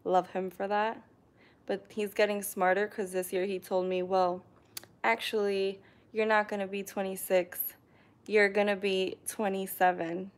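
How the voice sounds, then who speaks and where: A young woman from New York chats unhurriedly to her viewers from her living room, filling pauses with ums. She is recorded by a camera on a tripod, and her pitch sits in a middle range. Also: level tone. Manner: chatty, hesitant, frequent ums and laughs. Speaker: vlogger reading live chat comments. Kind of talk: casual live stream chat.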